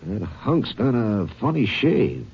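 A man's voice: lowish voice, very animated, takes it slowly, breathy edge, heavily acted. A man playing a folksy, skeptical small-town sheriff in a 1950s radio drama, with an American accent. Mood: doubtful. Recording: noisy, muffled old radio recording.

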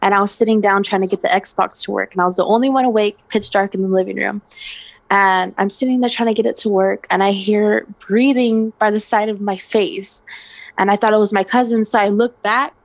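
A woman telling personal stories in a relaxed, casual way. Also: mid-range voice, fairly quick, no room sound. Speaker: guest. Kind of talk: casual storytelling.

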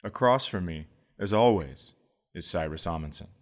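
A man's voice: low-pitched, lively intonation, normal speed. Man, middle-aged, comedian podcast host, playful casual chat. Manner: playful, does goofy voices for jokes.

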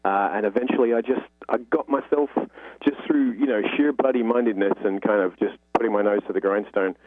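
A man's voice: on the low side, brisk, no echo. British man on a tinny phone line. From Britain. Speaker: guest author. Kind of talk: conversational interview.

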